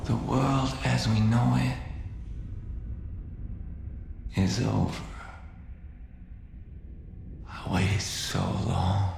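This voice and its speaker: Gravelly, hushed doomsday cult leader. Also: male voice, fairly low pitch, takes it slowly, exaggerated voice. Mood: solemn, wounded. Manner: solemn, preacher-like, hushed intensity. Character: calm fanatical male doomsday cult leader, vindicated and menacing. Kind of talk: dramatic video game cutscene monologue. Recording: roomy reverberant sound with background noise.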